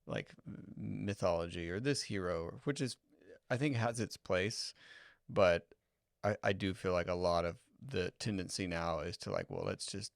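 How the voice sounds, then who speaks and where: A man's voice: sits low, normal speed, gravelly, no echo, studio quality. A man co-hosting a casual podcast discussion, thinking aloud in a hesitant, conversational way. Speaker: podcast co-host.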